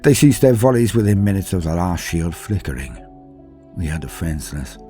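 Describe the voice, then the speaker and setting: A man narrating a dramatic first-person war story as a grim, brooding space marine commander, grave and deliberate, with theatrical pauses. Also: deep voice, very animated, warm tone, faint music behind. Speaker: lore channel narrator.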